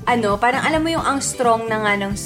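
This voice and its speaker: A woman's voice: a little high, quick pace, over music. A Filipino woman, a guest and former radio partner, chatting casually on a podcast, joking and switching between languages. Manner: joking, animated, code-switching. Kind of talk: casual chat.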